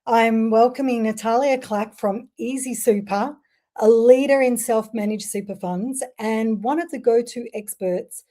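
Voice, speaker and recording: A woman's voice: a little high, dark tone. A woman hosting an interview podcast, upbeat and chatty, sometimes tripping over acronyms. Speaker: podcast host.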